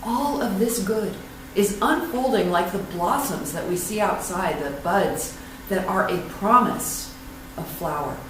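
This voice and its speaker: A woman leading a church invocation and readings in a prayerful, unhurried, affirming way. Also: medium pitch, fairly bright tone. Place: church sanctuary. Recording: echoey room, far from mic, noisy.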